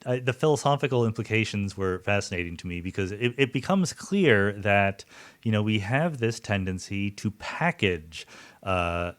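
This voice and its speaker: Male podcast host. Slightly low voice, conversational, musing, halting with repeated words. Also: animated. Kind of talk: conversational interviewing.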